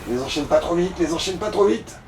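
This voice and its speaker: A man's voice: a little high, animated, fairly quick, darkish tone, slight background noise. A man giving casual Let's Play commentary, coaching another player in crude, slangy talk. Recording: roomy echo, distant mic, music. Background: game music.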